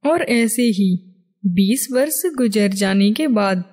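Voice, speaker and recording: A woman's voice: medium pitch, animated, takes it slowly, a little mellow. A woman narrating a scripted moral story as a storybook narrator.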